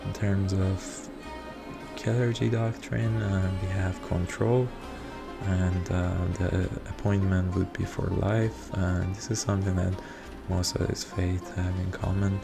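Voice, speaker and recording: A man's voice: sits low, little pitch movement, takes it slowly, vocal fry. A man whose first language is Persian, talking through a strategy game in hesitant commentary full of ums and uhs over background music. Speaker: strategy game video reviewer. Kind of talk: unscripted gameplay commentary.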